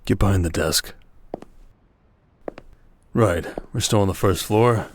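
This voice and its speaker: A man playing a stern, protective merchant lord in a roleplay audio drama, speaking in a dry, measured, commanding way. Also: low-pitched, slight background noise. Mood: intent, dry.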